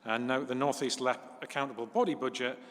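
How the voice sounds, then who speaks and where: A man chairing a council meeting, relaxed and plain, with asides to viewers. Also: mid-range voice, a little fast. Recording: echoey council chamber.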